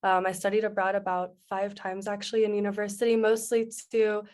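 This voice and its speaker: Brief young woman panelist, flat even delivery, video call. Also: medium pitch, brisk. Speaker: panelist, former teaching assistant abroad. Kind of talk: answering on a panel.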